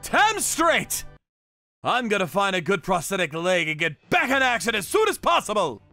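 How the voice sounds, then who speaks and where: A man acts out a hot-headed young cartoon gangster. His voice is highish, bright and punchy, brash in every line. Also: very animated, normal speed, soft background music, heavily acted. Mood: fired up. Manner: punchy, forceful. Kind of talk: animated manga dub dialogue.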